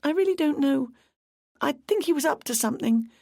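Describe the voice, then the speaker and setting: A woman's voice: lively intonation, brisk, creaky, a little mellow, dry recording, clean studio sound. Woman voicing Carla, a tearful young runner. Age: 20s. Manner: high, sniffly, anxious and gushing.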